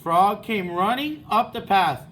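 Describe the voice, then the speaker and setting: Male primary teacher for children's read-aloud: high voice, animated melody, slow delivery, faint noise behind. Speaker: first-grade teacher.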